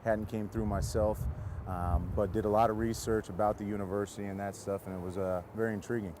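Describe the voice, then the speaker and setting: A man's voice: on the low side, little pitch movement, fairly quick, noisy background. An American man from San Diego, a football coach giving casual interview answers outdoors beside a field. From San Diego, California.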